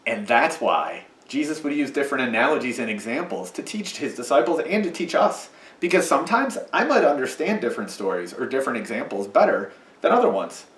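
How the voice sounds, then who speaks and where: A man leads a children's sermon, his voice rising and falling in a very lively, sing-song way as he patiently explains things to kids. He sounds set back from the mic, and the church room adds a strong echo. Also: mid-range voice, brisk, recorded from a distance. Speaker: children's message leader. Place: church sanctuary.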